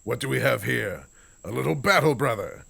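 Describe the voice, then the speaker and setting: Stoic giant warrior for a comic dub, very deep and gravelly, lively in pitch, measured and grave. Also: male voice, exaggerated voice. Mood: gentle. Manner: slow, solemn, measured delivery. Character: towering stoic male space-marine warrior, gentle but dutiful. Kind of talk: fan comic dub voice acting. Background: music score.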